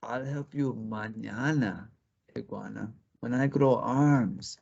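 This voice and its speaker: A man voicing a lazy storybook snake who stalls with languid, drawn-out excuses. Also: mid-range voice, creaky, a little mellow. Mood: languid, stalling. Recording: slightly dull lo-fi recording.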